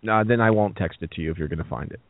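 A man's voice: deep voice, very fast, no echo. A man hosting a casual call-in show, teasing his co-hosts and restarting his sentences. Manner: teasing, talks over himself with restarts. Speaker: show host. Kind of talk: casual call-in radio chat. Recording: tinny phone line.

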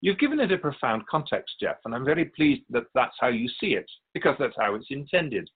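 Man voicing a serene spirit guide with animated intonation. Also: mid-range voice, breathy edge, phone-quality sound. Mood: solemn, assured. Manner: measured, formal, instructive. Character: Keeper of Souls, serene wise otherworldly spirit guide. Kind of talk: radio drama dialogue.

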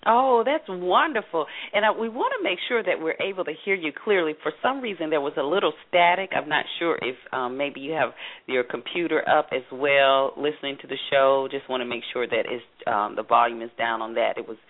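A woman's voice: low-pitched, brisk. A woman hosting an interview show, chatty with many fillers, heard over the phone. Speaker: host. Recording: phone line, narrow band.

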